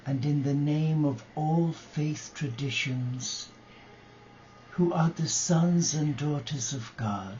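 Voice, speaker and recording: A man leading a guided meditation and prayer as a religious brother, speaking gently and reverently in short phrases with long pauses. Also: mid-range voice, a little hiss or hum. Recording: dull lo-fi live recording with music.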